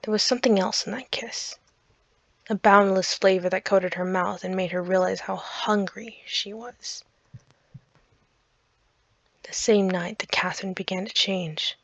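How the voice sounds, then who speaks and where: A woman's voice: mid-range voice, fairly even intonation, unhurried, pauses often, no room sound, dull audio. A woman reading a visual novel aloud as a dramatic let's play narrator. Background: faint game music.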